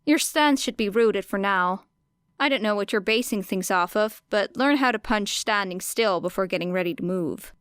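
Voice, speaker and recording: A woman's voice: medium pitch, normal speed, a bit bright, smooth, dry recording, studio quality, close to an everyday voice. A woman voicing a brash, teasing young female street fighter who coaches bluntly and casually in an audiobook. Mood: brisk, instructive. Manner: blunt, cocky, casual, sweary. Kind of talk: audiobook character dialogue.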